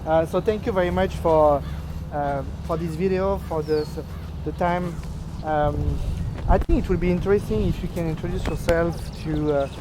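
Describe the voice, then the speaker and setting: A man's voice: fairly high pitch, normal speed, background noise. A man with a French accent who interviews the mayor outdoors. He speaks English hesitantly, with many fillers. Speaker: interviewer and channel host. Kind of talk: interview and vlog intro.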